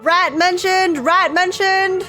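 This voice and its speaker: A woman's voice: very high-pitched, takes it slowly, sharp tone. A woman streamer playing a video game, reacting casually and reading game text aloud. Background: faint game music.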